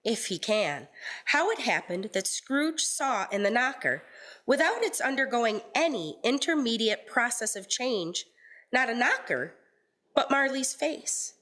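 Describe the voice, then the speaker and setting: A woman narrating a radio play in a measured, deliberate storytelling style. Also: fairly high pitch, big pitch swings, fairly bright tone.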